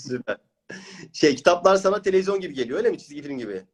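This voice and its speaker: A man's voice: fairly high pitch, expressive, fast talker, breathy edge. A man working as a reading coach and host, interviewing clients and explaining his course in an encouraging manner. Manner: encouraging, praising.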